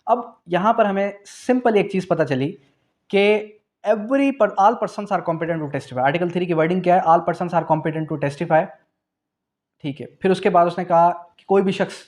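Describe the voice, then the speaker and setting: Higher-pitched Pakistani man lecturing. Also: unhurried, a little mellow. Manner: didactic, asks and answers own questions; repeats key phrases for emphasis. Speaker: law teacher. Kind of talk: exam revision lecture.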